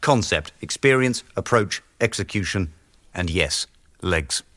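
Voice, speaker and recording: An actor in a radio drama playing a pompous, vain, snobbish research boss with a sardonic, biting delivery. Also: male voice, deep voice, lively intonation, unhurried, bright, forceful tone, heavily acted. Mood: snobbish, impatient.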